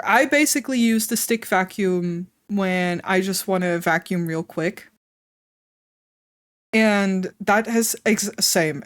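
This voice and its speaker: A woman's voice: medium pitch, no room sound, studio quality. A woman streamer chatting casually with her viewers, slightly congested and wheezy, swearing casually and laughing often. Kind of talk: casual live-stream chat.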